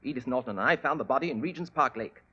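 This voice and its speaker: A man's voice: mid-range voice, animated, quick pace, bright, forceful tone, slight background noise. An actor playing a nervous young male secretary in 1940s radio drama style, stammering and pleading. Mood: flustered. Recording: dull old radio broadcast.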